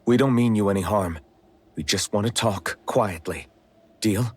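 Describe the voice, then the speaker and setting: An actor playing an earnest, resolute young rebel fighter in a fantasy anime game, speaking plainly. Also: male voice, lowish voice, moderate pace, a little mellow, breathy edge. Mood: measured, wary. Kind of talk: English-dubbed anime game voice acting.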